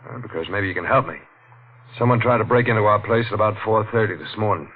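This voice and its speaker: An actor playing a tough, suspicious working-class American husband in a 1940s-style radio mystery, gruff and terse as he probes for answers. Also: lowish voice, quick pace. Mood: guarded politeness. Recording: muffled, tinny old radio recording.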